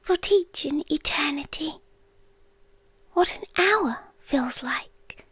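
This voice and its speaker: A British woman playing an ancient, lovelorn world-ending being in an ASMR roleplay, speaking in a soft, breathy, intimate whisper. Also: high voice, animated, no echo, full character voice. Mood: mournful farewell. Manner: slow, hushed, intimate, with pauses. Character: ancient female apocalypse entity, lovelorn, tender and melancholy. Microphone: close microphone in a dry space.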